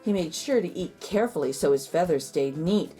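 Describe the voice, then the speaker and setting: A woman storyteller reading a children's picture book aloud in an expressive narrating style. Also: on the low side, fairly quick, faint music behind.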